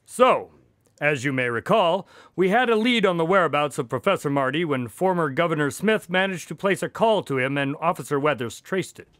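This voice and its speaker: Chatty doctor sidekick guy, man acting, kinda high voice, swoops around, wry, radio drama, dry sound. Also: animated, fairly quick, a bit bright, no room sound. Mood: genial storytelling. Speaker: narrator-character of a radio drama. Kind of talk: scripted radio drama narration and dialogue.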